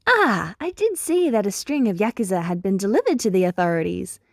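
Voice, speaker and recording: A woman's voice: medium pitch, sing-song, a little fast, dry recording, clean studio sound. A woman voicing a cheerful, shrewd little male animal principal in an audiobook-style story, blithe and inquisitive. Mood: bright, probing.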